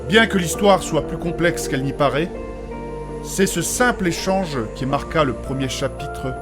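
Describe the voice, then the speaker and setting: A man playing a troubled, solemn adventurer in a fantasy audio drama, speaking in a formal, measured, literary way. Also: a little high, loud music behind the voice, natural voice. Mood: solemn, wistful. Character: troubled, solemn adventurer recounting and losing a memory.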